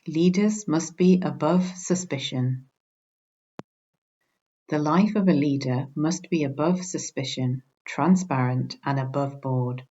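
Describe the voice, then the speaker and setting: Low-voiced woman reading a religious study. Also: mellow. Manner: measured, formal reading. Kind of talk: scripted narration of a religious study. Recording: dull, muffled lo-fi recording.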